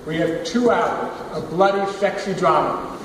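A network president giving a scripted, polished presentation of the season lineup from a large theater stage. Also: male voice, high voice, measured pace, recorded from a distance, noisy background. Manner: polished, announcing. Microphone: far from the recording device, through the hall speakers. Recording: echoey hall recorded from the audience.

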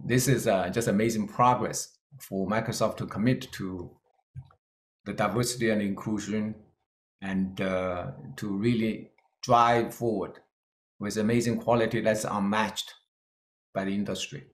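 A middle-aged man from China with a Chinese-Scottish accent, giving an earnest keynote talk with slides and pausing on fillers. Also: lowish voice, steady tone, roomy sound. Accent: Chinese-Scottish English. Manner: earnest, plain-spoken, with hesitant fillers. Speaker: keynote speaker.

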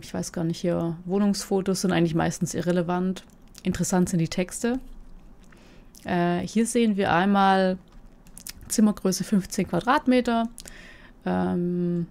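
A woman's voice: lowish voice, vocal fry, a little mellow. A woman hosting a casual commentary, reading listings aloud and musing about them in a chatty way, often turning to ask her co-host questions.

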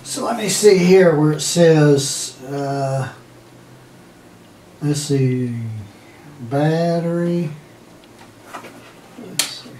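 A man's voice: fairly high pitch, extremely slow, long pauses, dark tone. An older man, around his sixties, thinking aloud in short fragments during a casual hands-on demonstration. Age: older, around 60s. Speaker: hobbyist demonstrator testing old electronics. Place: indoor room at home, seated at a wooden table. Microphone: camera mic a few feet away. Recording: roomy, distant mic, noisy with handling clicks.

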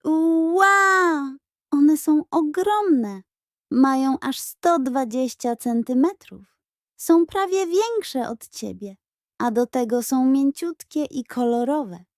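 A woman puts on an excitable boy, the bossy older brother in a children's story. The voice is raised in pitch, loud and urgent, full of exclamations, and recorded dry. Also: slow pace, no room sound. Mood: amazed. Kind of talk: children's audiobook character voice.

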